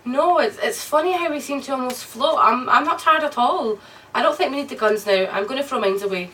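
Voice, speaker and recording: An actress playing a frightened, pleading wife trapped in a nightmare, in an old radio drama. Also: female voice, high voice, a little fast, a bit bright. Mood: uneasy. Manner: urgent, pleading, emotional. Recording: distant, noisy old radio recording.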